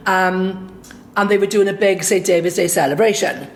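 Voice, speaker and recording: A woman from Swansea with a Welsh accent, a singer and songwriter recounting a personal story in a lively, anecdotal way. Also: mid-range voice, moderate pace, a little hiss or hum. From Swansea, Wales. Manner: lively anecdotal, acts out quoted dialogue.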